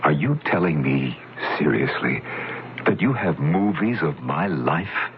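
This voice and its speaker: A man's voice: extremely low pitch, sing-song, measured pace, slight background noise, heavily acted. An actor playing a stunned doctor who sees his own past on a screen, in a shaken, disbelieving manner. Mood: incredulous. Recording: tinny old radio play recording.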